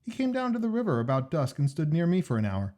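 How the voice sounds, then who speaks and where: A man voicing a breezy, keen and unafraid gentleman sportsman in a storybook, with a brisk, eager, nonchalant manner. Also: on the high side, wildly swooping pitch, voice pushed up. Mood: keenly interested. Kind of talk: storybook character dialogue.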